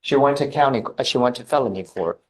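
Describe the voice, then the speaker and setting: A man speaking as a lawyer in a courtroom. His voice sits at a medium pitch. He addresses the bench informally, with a hesitant, deferential delivery. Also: quick pace.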